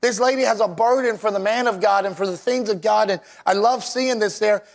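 Male preacher, somewhat high-pitched, voice worn and a touch scratchy, unpolished plainspoken sermon delivery. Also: a little fast, vocal fry. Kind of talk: sermon with scripture reading and prayer.

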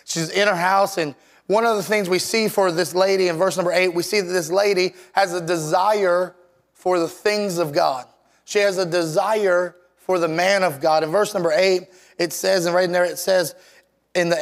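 Scratchy higher-pitched male preacher. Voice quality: slightly scratchy, worn voice. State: voice worn out and scratchy. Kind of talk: sermon with scripture reading and prayer.

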